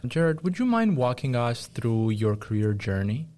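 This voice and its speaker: A man's voice: mid-range voice, sing-song, normal speed, darkish tone. A man hosting an interview show, asking long, polite questions and giving short affirmations. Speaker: host.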